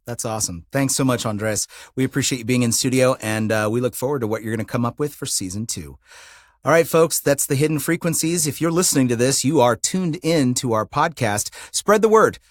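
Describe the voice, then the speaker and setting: Man, podcast host, medium pitch, casual, upbeat, encouraging, dry studio recording. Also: a little fast, no room sound.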